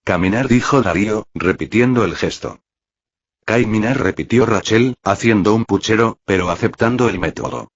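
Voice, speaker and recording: Hombre de voz muy grave, narrando. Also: male voice, on the low side, normal speed. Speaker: audiobook narrator. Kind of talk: scripted story narration. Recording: muffled, roomy, lo-fi audio.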